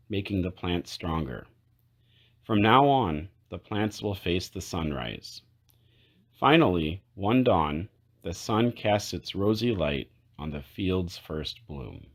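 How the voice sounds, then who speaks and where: Deep-voiced man reading a storybook aloud. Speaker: children's book read-aloud narrator. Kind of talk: read-aloud storybook narration.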